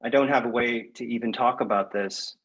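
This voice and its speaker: A man speaking as a guest poet in a measured, reflective, slightly hesitant way, as at a reading or interview. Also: mid-range voice, a bit bright, breathy edge. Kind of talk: poetry reading and conversational interview.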